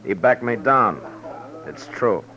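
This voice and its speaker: An actor playing a weary, stoic frontier marshal in an old radio western, speaking in terse, understated lines. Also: male voice, on the low side, animated, slow, a crowd in the background. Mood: guarded, resigned. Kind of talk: 1950s radio western acting. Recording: dull old radio drama recording.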